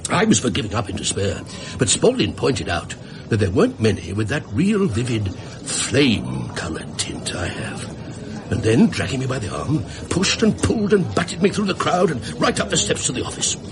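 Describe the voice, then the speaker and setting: A flustered, gullible male tradesman for a radio play. His pitch leaps all over as he rambles through his tale with small hesitations. A faint noise sits behind the voice. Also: huge pitch swings, moderate pace. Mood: animated recounting. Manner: rambling, hesitant storytelling with stammers. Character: flustered, talkative, gullible red-haired widowed tradesman telling his story. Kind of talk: radio drama acting.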